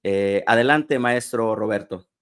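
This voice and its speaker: A man hosting a panel conversation among educators and moderating it with deliberate phrasing and drawn-out fillers. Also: lowish voice, a bit bright, clean tone. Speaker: host.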